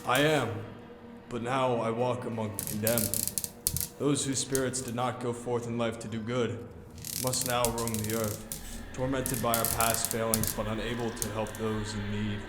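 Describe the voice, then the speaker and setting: A man's voice: medium pitch, level tone, measured pace, noisy background, natural voice. An actor playing the tormented ghost of a dead businessman in a radio play, speaking in a solemn, declamatory, anguished manner. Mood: grave, foreboding. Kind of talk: audio theater acting. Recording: cavernous long reverb over loud music.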